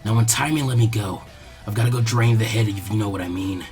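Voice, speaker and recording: A man voicing a gruff, defiant hired thug with a low, sneering mumble in a story reading. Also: quick pace, a little mellow, faint music behind, natural voice. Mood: smug.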